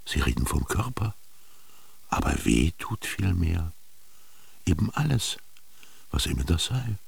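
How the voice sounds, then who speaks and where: Deep, gravelly, brooding ancient Egyptian. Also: male voice, sing-song, no room sound, full character voice. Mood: aching, wistful. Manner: lyrical, brooding, with rhetorical questions and lingering pauses. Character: Amenhotep, melancholy, yearning ancient Egyptian man recalling his youth. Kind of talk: literary radio drama monologue.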